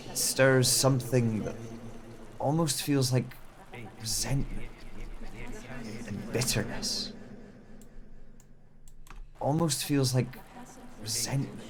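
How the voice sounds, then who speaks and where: A man playing a brooding wanderer with lost memories, narrating an audio drama in the first person in a measured, reflective way. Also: medium pitch. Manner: measured, reflective, with deliberate pauses. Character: brooding amnesiac wanderer narrating his journey. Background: chatter of other voices.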